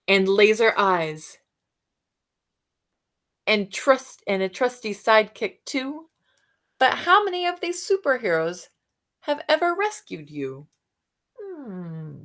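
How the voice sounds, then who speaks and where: A woman reading a picture book aloud to children, expressive, with soft musing questions for young listeners. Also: mid-range voice, gravelly. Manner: expressive reading, alternating with softer musing asides and hums. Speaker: read-aloud storyteller for children.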